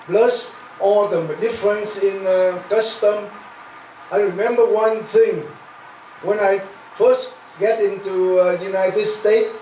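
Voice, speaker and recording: Older Vietnamese-accented man speaking slowly. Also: high-pitched, darkish tone. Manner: halting, many fillers and restarts, self-deprecating humor. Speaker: guest speaker. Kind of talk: after-dinner speech. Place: large hall. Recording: echoey hall, far from mic, faint noise.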